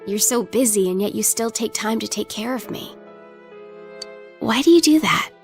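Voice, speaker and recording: A woman playing a guarded but tender teenage girl in an English anime game, speaking in a halting, intimate way. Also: on the high side, expressive. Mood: fond, wondering. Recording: prominent music behind the voice. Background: loud music.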